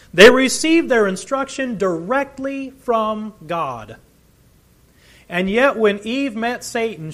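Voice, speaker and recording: Animated male preacher, high lively voice. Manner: earnest, emphatic teaching with swells of volume. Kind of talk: sermon.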